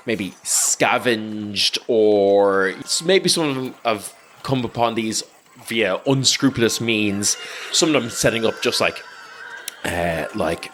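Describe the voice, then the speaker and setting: A man narrating an improvised scene as game master in an informal, halting, conversational way. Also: on the low side, fairly bright tone, slight background noise.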